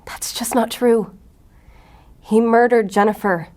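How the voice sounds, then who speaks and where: An actress playing a driven, short-tempered special agent in an audio drama, blunt and confrontational. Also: female voice, a little high, steady tone, slow pace, a little mellow. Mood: pressing, accusing. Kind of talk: audio fiction acting.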